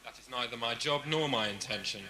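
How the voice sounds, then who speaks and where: A man's voice: mid-range voice, fairly quick, a crowd in the background, natural voice. A man playing a weary, principled prison ship commander in an audio drama, speaking in a formal, dry, authoritative way. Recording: clearly noisy 1980s cassette, background ambience.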